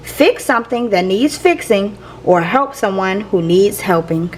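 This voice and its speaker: A woman reading a picture book aloud to young listeners in a playful, expressive teacher's manner. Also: mid-range voice, measured pace, slight background noise, rough recording. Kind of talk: expressive children's read-aloud.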